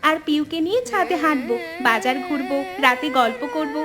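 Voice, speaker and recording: A woman voicing an affectionate, pouting, playful younger sister in an animated family story. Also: sits high, fairly quick. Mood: pleading, playful. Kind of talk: animated family drama dialogue.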